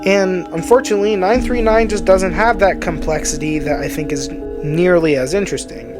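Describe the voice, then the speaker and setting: A video essay narrator talks through scripted commentary in an explanatory, opinionated manner, often softening points as personal opinion. The voice sits at a middling pitch, with music clearly playing underneath. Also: moderate pace.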